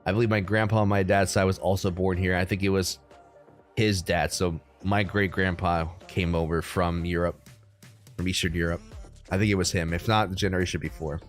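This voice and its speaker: A young man from the United States hosting a crypto livestream, chatting casually with viewers in a relaxed, rambling way full of ums and likes. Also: low voice, a little fast, soft background music. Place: room at home.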